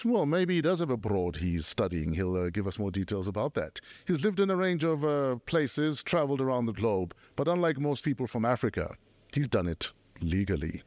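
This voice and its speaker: A male podcast host interviews his guest with teasing jokes and quick asides. His pitch swings widely as he talks. The sound is dry and close to the mic. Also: no room sound. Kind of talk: casual interview chat.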